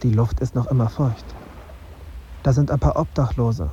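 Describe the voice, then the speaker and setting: A dazed, gloomy young man thinking aloud, played by an actor. His voice is very dark and soft-edged, brooding and terse, in a radio drama with slightly dull sound and faint noise. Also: mid-range voice, fairly even intonation, moderate pace, natural voice. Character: dazed, gloomy young male journalist narrating inwardly. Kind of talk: radio drama interior monologue.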